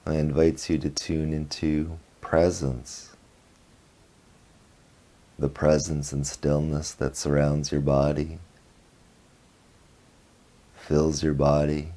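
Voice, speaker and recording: Very deep, slow-speaking meditation guide man. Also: monotone. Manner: slow, soft, with long pauses between short phrases. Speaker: meditation teacher.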